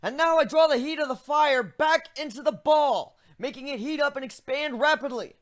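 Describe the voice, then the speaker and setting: A man voicing a young male griffin mage who lectures excitedly and chants spell words slowly. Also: extremely high pitch, pitched above the performer's own voice. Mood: eager explaining. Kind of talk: dramatic fan reading.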